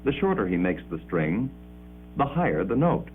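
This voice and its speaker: A man delivering formal, measured 1950s educational film narration with precise enunciation, on an old muffled soundtrack. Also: fairly low pitch, sing-song, soft background music.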